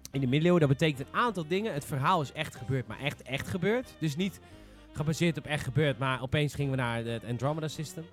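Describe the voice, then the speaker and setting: Male podcast host, fairly high-pitched, informal and blunt, conversational, soft music in the background. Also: quick pace, few pauses. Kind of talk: casual chat, steering the discussion.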